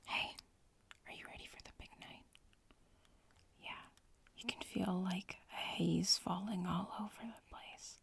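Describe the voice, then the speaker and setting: A woman voicing a young witch-in-training in an ASMR roleplay, murmuring and whispering with a creaky, breathy voice and a nonchalant drawl. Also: lowish voice, steady tone, unhurried, pauses often, exaggerated voice. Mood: teasing, casual. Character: casual young witch-in-training, bratty schoolmate.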